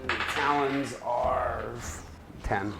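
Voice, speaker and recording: A man's voice: medium pitch, fairly even intonation, very slow. A man chatting casually as a player in a tabletop game. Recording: noisy room recording. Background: clearly audible background noise.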